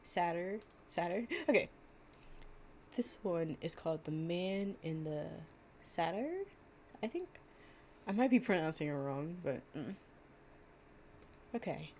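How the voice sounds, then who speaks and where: A woman reads fables as a sleepy-time roleplay, with playful, informal asides. Her voice is low and she takes her time. There is slight noise behind her. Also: expressive, takes it slowly, long pauses, darkish tone. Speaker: audio roleplay performer reading bedtime fables. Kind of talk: gentle storytime reading with improvised casual chat.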